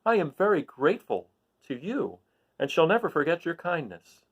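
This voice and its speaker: A man's voice: on the high side, sing-song, normal speed, slightly rough, pitched above the performer's own voice, full character voice. A man voicing a kindly, grateful tin woodman in a storybook, polite and earnest.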